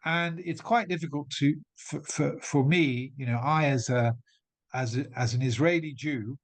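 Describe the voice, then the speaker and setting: A man's voice: mid-range voice, normal speed. A middle-aged man raised in England, speaking as a guest to an audience and building an emphatic argument point by point, with frequent restarts. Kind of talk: talk to an audience.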